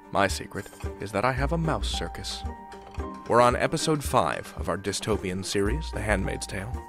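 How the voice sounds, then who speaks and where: A man hosting a podcast, reading a scripted, dramatic introduction to a serial story. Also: fairly low pitch. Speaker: podcast host.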